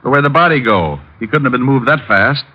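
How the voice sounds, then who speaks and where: An actor playing a sardonic, flirtatious hard-boiled private eye in a vintage radio detective drama. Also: male voice, medium pitch, animated, very noisy, close to an everyday voice. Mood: urgent. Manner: sardonic, brisk quips. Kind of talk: 1940s radio detective drama acting and narration. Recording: muffled old radio recording.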